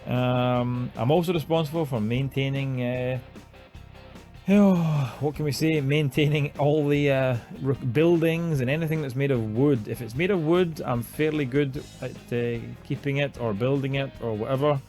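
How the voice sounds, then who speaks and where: A man's voice: mid-range voice, normal speed, a little mellow, faint music behind. A man in his thirties from Glasgow with a Scottish accent, talking casually to camera as a farm vlog host, self-deprecating and full of ums and uhs. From Glasgow, Scotland. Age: 30s.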